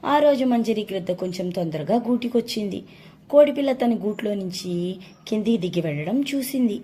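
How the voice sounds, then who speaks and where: A woman's voice: medium pitch, expressive, normal speed, mellow. A woman narrating a children's story as a storyteller. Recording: faint background noise.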